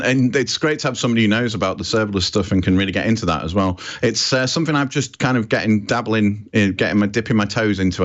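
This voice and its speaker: A man's voice: lowish voice, lo-fi sound. A British man presenting a podcast in a chatty, informal and self-deprecating way. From Britain. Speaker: podcast host.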